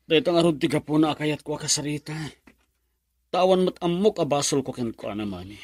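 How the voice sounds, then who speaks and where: A drunk, lovesick young Filipino man acted out by a man in a radio drama. He is stubborn and sulky, with a husky, mid-pitched voice recorded dry. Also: a little fast, darkish tone, no room sound, natural voice. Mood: sulky, heartbroken. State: acting drunk.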